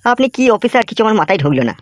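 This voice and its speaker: A man's voice: high voice, big pitch swings, racing, dry recording. A man voicing an aggrieved, bewildered young working husband in a comedy cartoon, pleading and protesting. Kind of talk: Bengali comedy cartoon dubbing.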